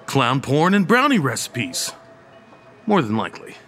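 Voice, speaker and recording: A young man acting a goofy college stoner with showy salesman patter and theatrical, drawn-out emphasis. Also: mid-range voice, wildly swooping pitch, fairly bright tone, faint music behind. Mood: excited, teasing. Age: young adult. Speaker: actor in audio drama. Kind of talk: theatrical dialogue in old time radio style audio play.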